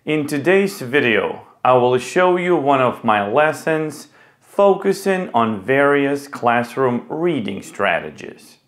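A middle-aged man speaking as an English teacher, with deliberate, clearly enunciated delivery. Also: mid-range voice, very animated. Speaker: ESL teacher and video host. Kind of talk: presenting to camera and leading a children's class.

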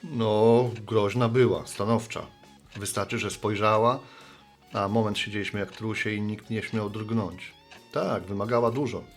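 Radio play postman and bar regular, played by a male actor: middle-aged man, fairly low, gravelly, sheepish, folksy, good-natured. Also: steady tone, measured pace, faint music behind. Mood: reflective. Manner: folksy, rambling, reminiscing.

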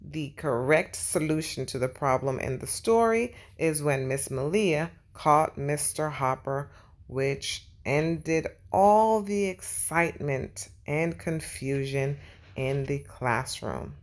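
A woman narrating a children's storybook and teaching young viewers in an animated, instructive way. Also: sits low, slow pace. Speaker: children's read-aloud host.

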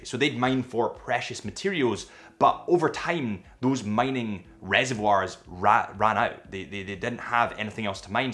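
Young Scottish male presenter, animated, eager delivery, mid-pitched, small indoor studio. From Scotland. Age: young adult. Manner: animated, gestures with his hands. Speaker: watch retailer presenter. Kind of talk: enthusiastic watch vlog narration.

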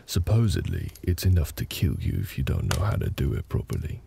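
A man playing a gentle, big orc husband in a comfort roleplay audio, telling a story in a hesitant, reassuring, gravelly way. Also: huge pitch swings, a little hiss or hum, full character voice. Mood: calm storytelling. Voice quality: very deep, gravelly. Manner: slow, hesitant, reassuring, conversational.

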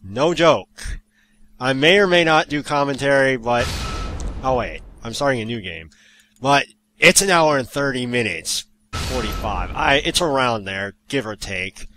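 A man hosting a let's play, giving hyper, goofy and excitable video game commentary with theatrical outbursts. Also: medium pitch, measured pace, slight background noise.

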